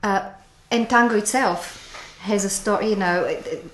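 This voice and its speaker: A woman with a Bulgarian accent and a trace of a New Zealand twang, a writer speaking reflectively as an interview guest, often pausing with fillers. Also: mid-range voice, a bit bright. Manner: reflective, halting, many fillers. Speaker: author guest. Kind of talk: interview conversation. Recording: echoey room, faint noise.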